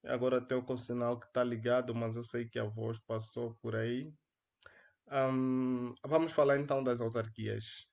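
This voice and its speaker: A man's voice: mid-range voice, little pitch movement, normal speed, creaky, darkish tone. A young man with an Angolan accent, hosting a solo live stream in an earnest, hesitant way, with many fillers and restarts, speaking into a headset mic. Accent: Angolan Portuguese. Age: young adult. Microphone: headphones with mic.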